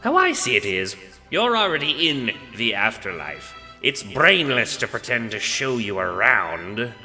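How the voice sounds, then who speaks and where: Gruff bored monster death for audio drama, growly n blunt, pitch jumps high, big reverb. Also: male voice, sing-song, a little slow, sharp tone, faint music behind, full character voice. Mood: gruff, dismissive. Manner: blunt, dismissive, swearing. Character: huge gruff skeletal Death, bored, weary, crude, out of touch. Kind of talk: audio drama character acting.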